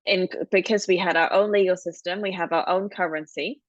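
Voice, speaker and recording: A woman's voice: lowish voice, fairly even intonation, brisk, no room sound, muffled recording. A woman in her thirties from Hong Kong, co-hosting a podcast and telling her family's story in a thoughtful, explanatory way. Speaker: podcast co-host. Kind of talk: casual podcast conversation.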